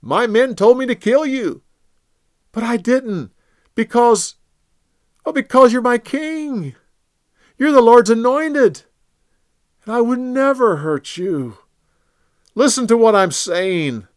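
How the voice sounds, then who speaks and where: A man's voice: very high voice, expressive, slow pace, long pauses, no echo, clean studio sound, pitched above the performer's own voice, exaggerated voice. A man voicing an earnest young warrior, pleading. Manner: earnest, raised, pleading calls. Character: young male warrior pleading with his king.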